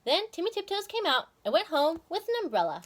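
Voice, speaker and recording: A woman reading a children's story aloud in a dramatic, expressive way. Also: very high voice, a little fast, a little hiss or hum.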